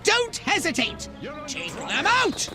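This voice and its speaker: A man voicing a pompous, excitable, scheming alien commander in a video game, sardonic and theatrical, with a shrill nasal voice. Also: takes it slowly, exaggerated voice. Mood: frantic, commanding. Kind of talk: video game cutscene acting. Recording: loud music under the voice.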